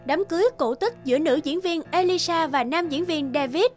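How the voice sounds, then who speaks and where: A woman narrates animated stories from a script. Her voice is high, and music plays clearly behind her. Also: fairly quick.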